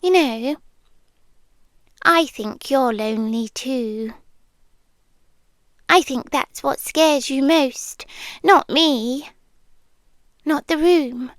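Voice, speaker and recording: A British woman playing a possessive, tender demi-human yandere girlfriend in an ASMR roleplay, softly and breathily, often dropping to a whisper. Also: high voice, no echo, studio quality. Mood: coaxing, tender. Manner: slow, deliberate, pausing between short phrases. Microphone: close, dry microphone.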